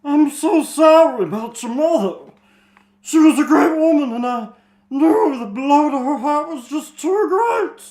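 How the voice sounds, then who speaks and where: Fantasy mentor, acted by an amateur reader: extremely high voice, swooping and emphatic, earnest, roomy echoing recording. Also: lively intonation, measured pace, a little mellow. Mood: consoling. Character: Noblin, earnest mentor sending a young hero off.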